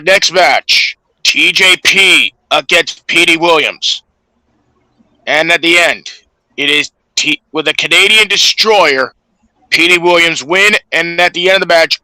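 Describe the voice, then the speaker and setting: A man co-hosting a podcast, recapping wrestling matches casually in a halting, stop-and-start delivery. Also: on the high side, pushed and bright, no echo, rough recording. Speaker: podcast co-host.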